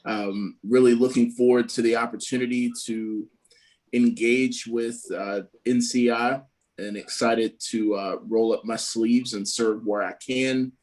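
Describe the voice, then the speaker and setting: A man introducing himself as a new board member over a video call, in a friendly, brisk way. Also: mid-range voice, fairly even intonation, darkish tone. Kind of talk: brief self-introduction.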